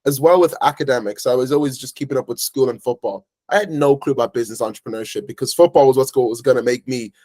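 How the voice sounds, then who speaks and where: A man's voice: medium pitch, quick pace, few pauses, a little mellow, no echo. A young man in his early twenties from Ireland, with an Irish accent, talking casually as an interview guest in a rambling way, with lots of fillers. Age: early 20s. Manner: rambling, filler-heavy storytelling.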